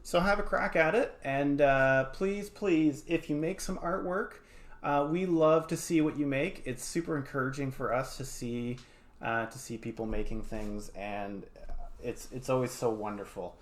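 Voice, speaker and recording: A man's voice: mid-range voice, unhurried. A man teaching a casual craft demonstration in an encouraging, easygoing way, murmuring little asides as he works. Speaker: art teacher. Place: home studio. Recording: clear background room noise.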